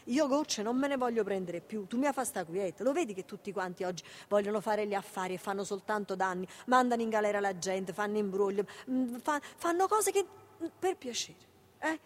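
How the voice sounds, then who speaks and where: A woman's voice: mid-range voice, animated, brisk, slight background noise, lightly acted. An actress playing a protective, weary and tender Neapolitan woman in a naturalistic radio drama, coaxing and repeating herself in a maternal way. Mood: anxious pleading.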